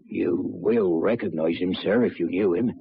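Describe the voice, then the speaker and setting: An actor playing a solemn, respectful railway working man in an old-time radio drama, halting and deferential, with a gravelly voice. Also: sits low, dry recording, heavily acted. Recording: dull vintage radio, faint noise.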